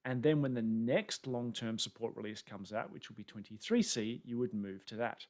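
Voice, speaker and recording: An Australian man talks through a webinar presentation in a chatty, self-deprecating way. His voice sits at an ordinary pitch for a man. He is picked up by a webcam setup on a video call. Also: normal speed, a little mellow. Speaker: conference presenter.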